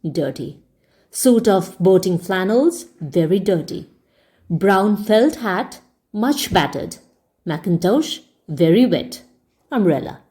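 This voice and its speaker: A woman storyteller reading a story aloud in a measured, expressive, audiobook-style narration. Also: medium pitch.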